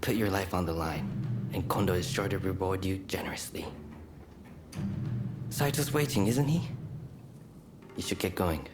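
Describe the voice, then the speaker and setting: Deep-voiced, confident samurai warrior. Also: male voice, moderate pace, pauses often, very noisy. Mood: easygoing, encouraging. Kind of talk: English game voice acting, cutscene dialogue.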